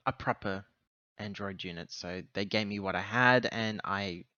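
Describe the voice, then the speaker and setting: A man with a sharp, bright voice plays through a tabletop roleplaying scene. He talks in halting, improvised phrases, feeling his way through sentences. The sound is very dry and a little dull. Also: lowish voice, no room sound. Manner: halting, hesitant phrasing with pauses. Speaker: tabletop roleplaying game player. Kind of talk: improvised in-character roleplay talk.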